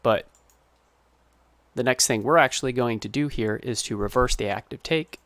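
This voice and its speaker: A man's voice: medium pitch, moderate pace, a bit bright, no echo. Dry-sounding man explaining a tutorial. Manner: step-by-step, explanatory. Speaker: sound designer giving a tutorial. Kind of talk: informal screen-recorded tutorial narration.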